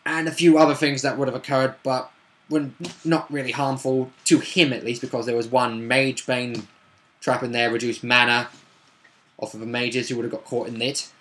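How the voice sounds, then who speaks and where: A man casually recapping a tabletop roleplay session as one of its players, in a rambling, halting, unscripted way. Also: mid-range voice, flat delivery, fairly bright tone.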